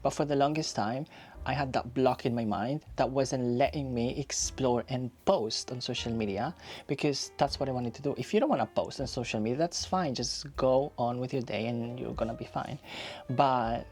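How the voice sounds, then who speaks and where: A man's voice: medium pitch, moderate pace, a little mellow. A man in his thirties, raised in Spain, talking casually and reflectively to camera in a personal vlog, repeating phrases for emphasis. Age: 30s. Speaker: YouTuber.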